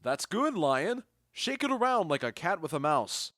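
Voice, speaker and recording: Man acting wry duke with lively intonation. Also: a little high, normal speed, no room sound, clean studio sound. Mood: mock cheering. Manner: wry, amused commentary. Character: witty, indulgent duke watching an amateur play. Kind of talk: modernized Shakespeare audio drama comedy.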